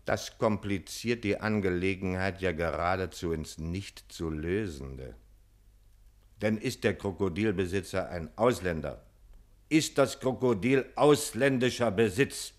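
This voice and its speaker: An actor playing a pedantic, condescending male police commissioner in a radio play, officious and deliberate. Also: deep voice. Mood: weary, final.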